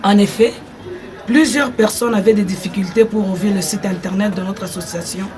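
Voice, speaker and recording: A woman leading an association, hoarse from a cold, answering an interviewer politely and formally. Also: mid-range voice, normal speed, darkish tone. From Democratic Republic of the Congo. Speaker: association president. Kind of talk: visit chat and interview answers.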